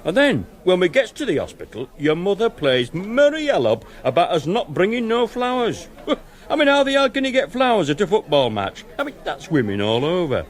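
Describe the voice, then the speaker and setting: A man's voice: high-pitched, wildly swooping pitch, moderate pace. An actor playing a gossipy, indignant older working-class man with a Northern English accent. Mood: grumbling. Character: grumbling older working-class man, complaining about women.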